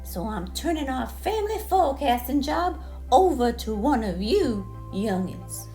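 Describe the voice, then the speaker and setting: Woman as elderly grandpa groundhog, folksy, deliberate, pitched up, lilting, storybook. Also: sing-song, dark tone, soft background music, heavily acted. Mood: frank, folksy. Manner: announcing, deliberate.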